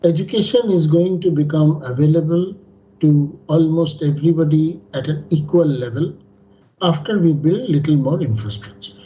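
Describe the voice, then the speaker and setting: A man who is a native Bengali speaker, making structured points on a panel in a measured, emphatic lecturing way. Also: a little high, mellow. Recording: dull lo-fi audio.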